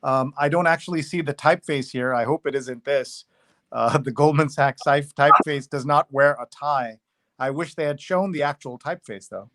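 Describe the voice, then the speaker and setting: A man hosting a casual live review show, talking through a newspaper and reading bits aloud while thinking out loud. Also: a little high, normal speed, no room sound.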